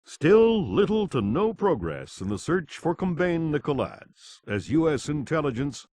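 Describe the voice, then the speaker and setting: An actor playing a grave television news anchor, reading scripted bulletins in a formal, measured way for a game. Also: male voice, fairly high pitch, sing-song, darkish tone, no room sound.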